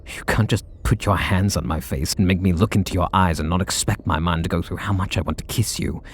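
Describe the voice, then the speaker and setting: Man doing a bashful super villain, very deep voice, husky, soft intimate ASMR delivery. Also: brisk. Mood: flustered. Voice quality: low gravelly husky voice. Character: flustered super villain man, tender, guarded. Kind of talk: ASMR audio roleplay.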